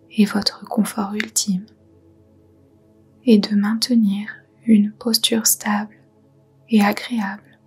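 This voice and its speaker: A woman softly guiding a meditation in a breathy, whispery voice, soothing and unhurried. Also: medium pitch. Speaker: yoga nidra guide. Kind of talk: guided meditation, soft whispered narration.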